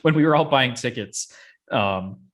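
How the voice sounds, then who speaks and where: A man's voice: medium pitch, animated, measured pace, fairly bright tone. Casual joking man co-hosting a chat. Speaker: podcast co-host. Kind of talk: casual movie discussion.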